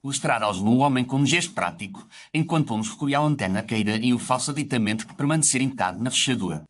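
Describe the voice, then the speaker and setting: Reflective gentleman narrator, voiced by a man, quick pace, formal literary storytelling, faint background noise. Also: medium pitch, expressive, close to an everyday voice. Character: reflective, gentlemanly doctor narrating his memoirs. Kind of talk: scripted first-person story narration.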